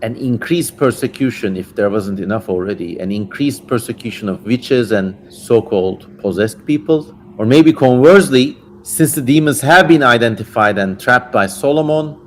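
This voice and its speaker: A man's voice: medium pitch, expressive, normal speed, hardly any pauses. A man hosting a livestream, mixing dramatic reading of an old text with casual, irreverent, slangy asides. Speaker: livestream host. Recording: livestream with clear background noise.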